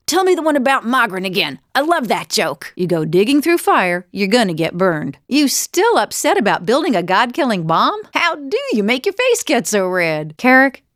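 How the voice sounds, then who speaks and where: A woman's voice: fairly high pitch, very animated, normal speed, no echo, studio quality. A woman voicing a bold, teasing female fighter and wanderer in a fantasy video game, with a wry, conversational delivery. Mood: teasing, curious.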